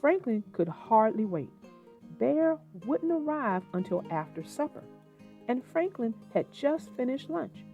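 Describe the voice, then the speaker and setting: Female children's read-aloud narrator: lively pitch swings, unhurried pace, plucked guitar music behind. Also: dull audio. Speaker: host and storybook narrator.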